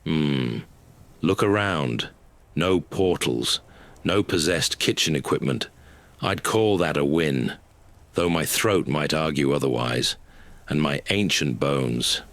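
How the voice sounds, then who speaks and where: A man voicing an ancient magical dog with dry wit and a droll, unhurried manner in an audio drama. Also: extremely low pitch, fairly bright tone, no room sound, exaggerated voice. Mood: wry, weary. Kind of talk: scripted audio drama acting.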